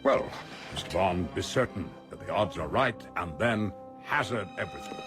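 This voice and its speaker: An actor playing a self-assured, calculating wealthy villain in a radio drama, speaking formally and with measured deliberation. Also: male voice, deep voice, animated, over music. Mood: coolly menacing.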